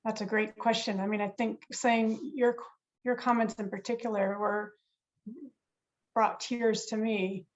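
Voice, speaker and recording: A woman's voice: mid-range voice, level tone, measured pace, lo-fi sound. A woman moderating a panel discussion, earnest and reflective, often restarting her phrases. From Korea. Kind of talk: webinar moderation. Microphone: video call.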